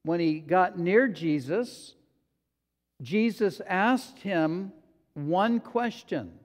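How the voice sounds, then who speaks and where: Man, pastor, sermon, unhurried deliberate delivery, fairly high voice, reverberant sanctuary. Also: expressive. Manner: slow, deliberate preaching with acted quotes. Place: church sanctuary. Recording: long hall reverb.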